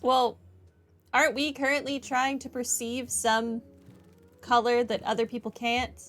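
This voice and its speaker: A woman's voice: fairly high pitch, little pitch movement, measured pace, fairly bright tone, soft background music. A woman giving casual, reactive commentary while playing through a video game. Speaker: game streamer.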